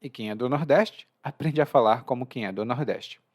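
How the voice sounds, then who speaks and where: A man with a Brazilian Portuguese accent hosts a podcast lesson. He is chatty and expressive and slows down to stress key words. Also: medium pitch. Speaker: language teacher and podcast host.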